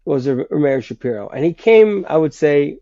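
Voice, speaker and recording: A congested man with a gravelly, mellow voice talks through history and keeps restarting his sentences. The recording is dry and dull, with a lo-fi sound. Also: on the high side, lively intonation, moderate pace, no room sound. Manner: hesitant, many restarts and fillers. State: congested with a sinus infection. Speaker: guest writer. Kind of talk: conversational history explanation.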